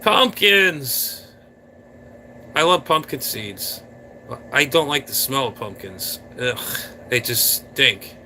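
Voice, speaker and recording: A man rambles playfully to his live stream viewers, teasing them and reacting to the chat. His voice is very bright and cutting, sitting at a middling pitch. A faint hum of room noise sits behind him. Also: big pitch swings, takes it slowly, long pauses. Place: indoor room.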